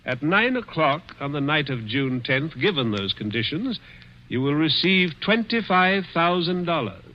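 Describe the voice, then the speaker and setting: A man's voice: a little high, very animated, normal speed. An actor playing a cruel, dying rich man who taunts with sly chuckles in a 1940s radio drama. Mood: menacing. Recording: dull old radio broadcast.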